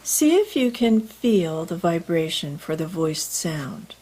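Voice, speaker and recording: A woman teaching English pronunciation in a scripted lesson, enunciating deliberately and carefully. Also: on the low side, sing-song, dark tone, breathy edge. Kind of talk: scripted instructional lesson with demonstrations.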